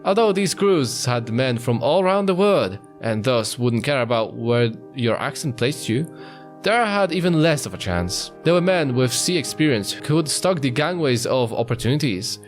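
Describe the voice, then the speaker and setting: A man voicing a confident adult cougar narrating his life in a dramatic visual novel read-aloud, with measured storytelling. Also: mid-range voice, animated, close to an everyday voice. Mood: reflective. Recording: faint guitar music behind.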